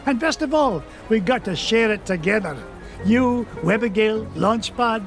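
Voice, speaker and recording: A man playing an elderly, wealthy duck uncle with a Scottish accent, brisk and chuckling, over game music. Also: sits high, animated, vocal fry, exaggerated voice. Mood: fond. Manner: brisk, brogue-tinged, chuckling. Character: elderly rich Scottish duck uncle, gruff but fond.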